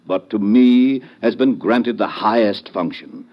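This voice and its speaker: An actor playing a pompous, long-winded philosophizing professor with florid, lofty phrasing in an old-time radio comedy. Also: male voice, fairly low pitch, a little slow, highs cut off, lightly acted. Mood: grandiose.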